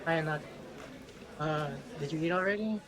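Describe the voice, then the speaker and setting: Guy playing a quiet sad dad, halting, a little far, crowd noise. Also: a little high, lightly acted. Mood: subdued. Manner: hesitant, halting. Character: quiet grieving middle-aged father. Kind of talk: amateur online drama acting.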